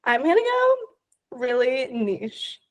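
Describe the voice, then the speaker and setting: A young woman hosting a podcast, with a voice pitched a little high for a woman. She fires off quick questions in an upbeat, chatty way and chimes in with short replies. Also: sing-song. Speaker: podcast host. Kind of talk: casual interview.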